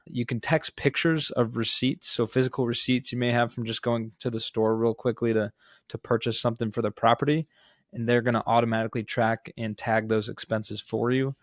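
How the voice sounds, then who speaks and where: Guy cohosting a business podcast, kinda flat pitch, informal, really dry sound. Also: fairly quick, dry recording. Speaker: podcast co-host. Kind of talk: conversational business news discussion.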